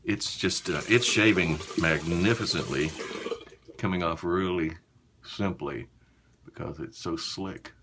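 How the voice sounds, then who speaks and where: Deep-voiced man chatting casually, water noise. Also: fairly bright tone. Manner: unhurried, halting, talking while busy with a task. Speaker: shaving vlogger. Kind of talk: casual chat to viewers while shaving. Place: small home bathroom.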